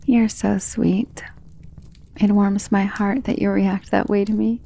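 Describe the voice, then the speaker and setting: A woman playing a centuries-old, tender and protective vampire in an intimate ASMR romance roleplay, speaking softly and earnestly, often in a whisper. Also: mid-range voice. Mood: teasing, affectionate. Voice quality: soft, breathy, often dropping to a whisper.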